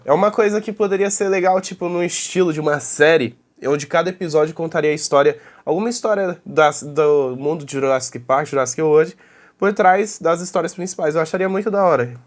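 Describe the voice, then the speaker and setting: A man's voice: on the high side, fairly quick. A Brazilian man giving casual, off-the-cuff reaction commentary in an informal, slangy way. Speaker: reaction video host.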